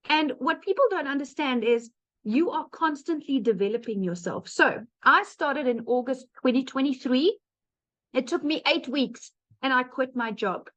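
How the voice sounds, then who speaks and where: A woman in her late thirties with a South African accent gives an informal, earnest testimonial to an online audience, speaking directly and emphatically to listeners. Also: sits high. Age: late 30s. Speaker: webinar speaker, digital marketer. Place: online webinar call. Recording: dull lo-fi online call audio.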